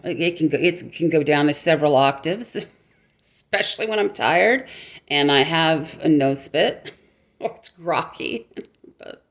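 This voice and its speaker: A middle-aged woman vlogging casually, rambling and joking at herself, talking with a dry mouth that makes speaking hard. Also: lowish voice. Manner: rambling, self-mocking, with frequent restarts and laughs. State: dry mouth, struggling to talk. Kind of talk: casual vlog monologue.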